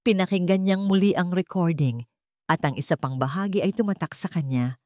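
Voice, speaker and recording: Low-voiced woman, solemn dramatic storyteller. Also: fairly quick, a little mellow, dry recording, studio quality. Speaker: storyteller, narrator. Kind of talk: dramatic scripted narration of a romance story.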